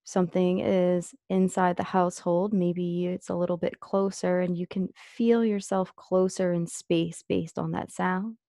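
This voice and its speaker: A woman with a soft-edged, mellow voice and noticeable fry leads a guided meditation. She gives gentle instructions, leaving long pauses between phrases. The sound is close and dry, with no room around it. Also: fairly low pitch, no echo.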